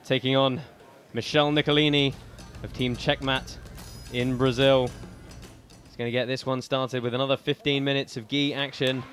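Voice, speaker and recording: A man's voice: medium pitch, little pitch movement, moderate pace, fairly bright tone, soft background music. A man interviewing competitors and calling live commentary, speaking like an announcer projecting to a crowd. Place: competition arena. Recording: echoey hall with crowd and noise.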